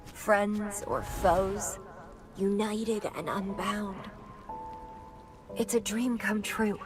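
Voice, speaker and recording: An actress playing a proud, obsessive young woman fighter in a video game, with theatrical, deliberate delivery. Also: mid-range voice, steady tone, over music. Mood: intense resolve. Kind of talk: dramatic video game acting.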